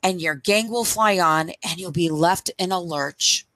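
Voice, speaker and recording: Bright-voiced woman reading a storybook slowly. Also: on the low side, no echo. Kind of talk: read-aloud picture book storytime. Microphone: headset microphone.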